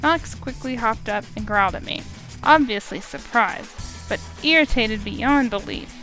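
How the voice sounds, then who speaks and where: Woman gamer, dramatic reading of visual novel text with wry asides, higher voice. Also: normal speed, soft background music, poor audio. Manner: expressive narration with wry asides.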